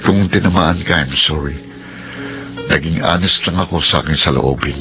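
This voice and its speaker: Very deep-voiced, principled man. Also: normal speed, over loud music. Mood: calm reproach. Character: calm, principled man, quietly reproachful. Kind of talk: Filipino radio drama acting.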